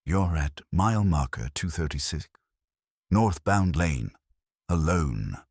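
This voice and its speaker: A man acts out a mysterious, emotionless CB radio stranger from a horror story. The voice is very deep and raspy, giving cryptic warnings in an almost robotic manner, with a dry, close sound. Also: sing-song, slow, a little mellow, no echo, heavily acted. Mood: ominous warning.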